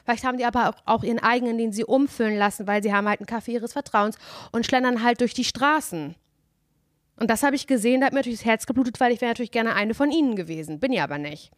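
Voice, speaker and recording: A chatty woman co-hosting a casual chat podcast, her voice sitting fairly high. She rambles and keeps checking in with little tag questions. She is sick with a cough, and the sound is close and dry. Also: racing, dry recording. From northern Germany. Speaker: podcast co-host. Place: home, on the couch.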